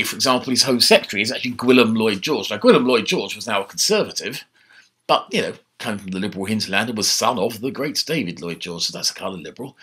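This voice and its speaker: Animated older man with a husky, bright voice. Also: on the low side. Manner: animated, conversational, gesturing with his hands. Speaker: history lecturer. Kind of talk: informal online history talk. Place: home study with bookshelves. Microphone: laptop webcam mic.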